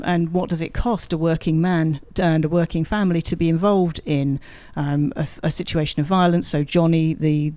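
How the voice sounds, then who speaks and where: A woman's voice: low-pitched, warm tone, no room sound. A woman giving articulate, explanatory answers as an expert guest in a radio interview, thinking aloud as she goes. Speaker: guest academic expert, drama lecturer. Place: radio studio.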